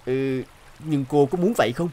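A man voicing a brave, gentle young hunter in an animated fairy tale, earnest and protective. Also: medium pitch, normal speed, lightly acted. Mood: sincere, loving. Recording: music behind the voice.

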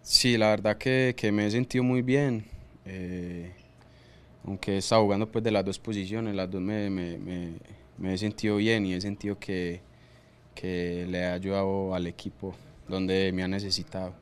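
A man's voice: sits low, flat delivery, normal speed, fairly bright tone, clear, resonant tone. A young man, a soccer player, answering press conference questions with hesitations and repeated words, speaking into a desk microphone. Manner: hesitant, repeats words while searching, laughs easily.

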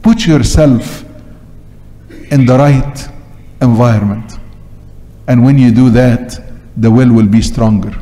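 Older Egyptian priest preaching in long reverb. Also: male voice, medium pitch, warm tone. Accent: Egyptian Arabic. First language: Arabic. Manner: emphatic, repeats short phrases. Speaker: Coptic Orthodox priest. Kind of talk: sermon. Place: large church interior, at the pulpit. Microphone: gooseneck pulpit microphone.

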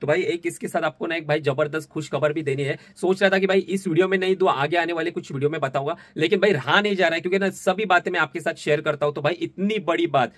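A man's voice: fairly high pitch, quick pace, hardly any pauses, a bit bright. A young man in his twenties, chatting casually to camera in a vlog and filming himself on a phone held at arm's length. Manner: chatty, says "bhai" constantly. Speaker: vlogger and online seller. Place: small indoor office room, also outdoors on a street at night.

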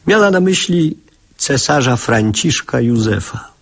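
A man playing a reflective narrator who recalls his childhood in measured, literary radio drama storytelling. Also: medium pitch, lively intonation, fairly bright tone, full character voice. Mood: reflective, wry. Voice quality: husky.

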